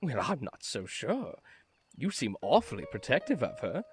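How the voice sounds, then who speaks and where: Older retired carpenter, flamboyant and gracious, hugely animated intonation, fond teasing; audio play. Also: male voice, mid-range voice, normal speed. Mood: teasing, coy. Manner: theatrical, effusive, affectionate teasing. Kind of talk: fan audio drama acting. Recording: music under the voice.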